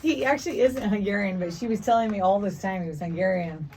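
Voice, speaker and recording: A woman co-hosting a casual family chat, an animated storyteller who laughs and acts out quotes. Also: medium pitch, fast talker, far from the mic. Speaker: co-host, daughter.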